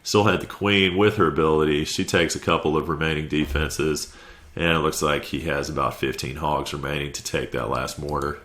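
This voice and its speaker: A man's voice: rumbling low, fairly even intonation, normal speed, a bit bright. A man with a stuffy head cold giving laid-back, conversational play-by-play commentary over a video game recap. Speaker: clan war recap commentator.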